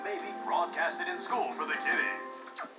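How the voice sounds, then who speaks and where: Man as a high-pitched, flamboyant racing show host. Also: lightly acted. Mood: smug, scheming. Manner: showy, hyped, drawn-out delivery. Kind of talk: over-the-top sports broadcast announcing. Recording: dull game audio, loud music behind.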